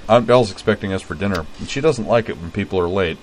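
A cheerful young man, voiced by the narrator, with a wry, easy delivery in a low voice, close to the reader's own. He is heard in an audiobook reading. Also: quick pace, low-quality recording.

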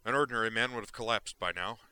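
A man's voice: lowish voice, steady tone, a little fast, sharp tone. A man voicing a manic, intense male journalist in an audiobook, talking with driving emphasis.

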